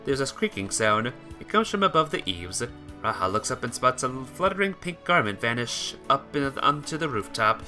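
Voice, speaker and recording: A man narrates a written tale in a bright, clear-cut voice. He reads in storybook fashion, now and then tripping on a word. Faint instrumental music plays behind him. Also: medium pitch, big pitch swings, moderate pace. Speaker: narrator reading a visual novel aloud. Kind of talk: scripted story narration with acted dialogue.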